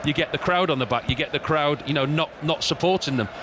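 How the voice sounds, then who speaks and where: A man's voice: fairly high pitch, quick pace, background noise. A man giving casual analytical co-commentary on a live football match, explaining tactics in a chatty way. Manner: conversational, explanatory, repeats phrases.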